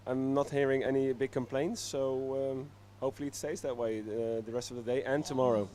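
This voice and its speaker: A man's voice: medium pitch, flat delivery, brisk, dark tone, slight background noise. A man speaking as a studio guest in a casual live interview, easygoing, feeling out his phrases piece by piece.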